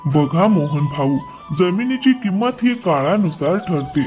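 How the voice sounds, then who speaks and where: A man voicing a shrewd, unyielding village landowner in an animated story, firm and businesslike. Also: sits high, unhurried, soft background music. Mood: firm bargaining. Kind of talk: animated moral story dialogue.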